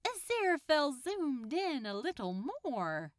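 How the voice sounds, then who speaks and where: A woman's voice: sits high, big pitch swings, a little slow. A woman narrating a scripted piece of fiction as a storyteller. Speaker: podfic narrator.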